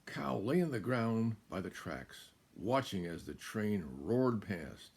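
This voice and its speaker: An American man in his seventies reading a children's picture book aloud as a guest storyteller, in a deliberate, emphatic way. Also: on the low side, big pitch swings, vocal fry, darkish tone, breathy edge. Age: 70s. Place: small room indoors.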